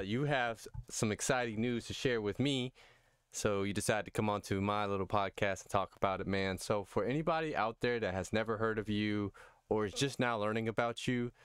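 A man hosting a casual podcast, relaxed and encouraging toward his guest. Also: lowish voice, brisk, clean tone, dry recording, clean studio sound. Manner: laid-back, encouraging, calls guest man and brother. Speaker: podcast host.

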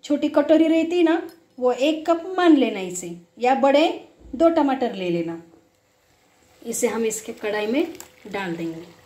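Female home cook, high voice, simple step-by-step recipe narration, echoey kitchen. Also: very animated, unhurried, pauses often, mellow, slight background noise. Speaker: home cook, cooking show host. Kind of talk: casual recipe demonstration. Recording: echoey room.

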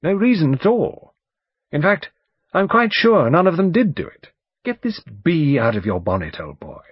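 A man's voice: a little high, very animated, normal speed, breathy edge, no room sound, highs cut off, voice pushed up, heavily acted. A man voicing a bluff, urbane and overbearing English barrister in an audiobook, breezy, emphatic and chatty. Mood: dismissive. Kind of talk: audiobook character dialogue.

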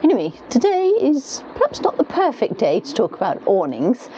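A woman's voice: fairly high pitch, huge pitch swings, moderate pace, warm tone, slight background noise. A woman in her forties chatting to camera inside a small caravan, rambling and correcting herself as she goes, with rain clattering on the roof. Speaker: caravanning vlogger. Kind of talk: casual talk to camera. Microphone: camera across the table. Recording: dull lo-fi sound with faint rain.

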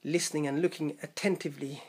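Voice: medium pitch, steady tone, fast, darkish tone, breathy edge. Someone playfully chatting with children as host, coaxing them along with short repeated urgings. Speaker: parent-like host prompting children's story. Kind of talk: playful casual chat with children. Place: small bedroom, indoors.

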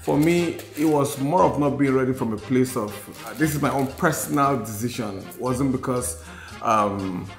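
A Nigerian-accented man in his forties shares candid personal memories at a mid-range pitch. He speaks reflectively and repeats phrases to stress them. The room is audible around his voice, with faint music underneath. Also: moderate pace, creaky. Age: 40s. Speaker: podcast co-host. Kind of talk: candid personal storytelling in a podcast conversation. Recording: roomy sound with faint music.

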